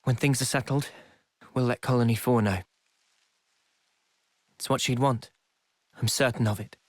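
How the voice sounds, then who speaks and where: A man playing a gentle, weary, reflective young soldier in a game drama, subdued and measured. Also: mid-range voice, steady tone, no room sound, natural voice. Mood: calm, assured. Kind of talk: dramatic game cutscene acting.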